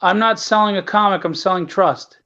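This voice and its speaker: A man's voice: sits high, moderate pace, sharp tone, muffled recording. A middle-aged man talking casually and bluntly as an interview guest, earnest and emphatic about his work as an indie publisher. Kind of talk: casual conversational talk.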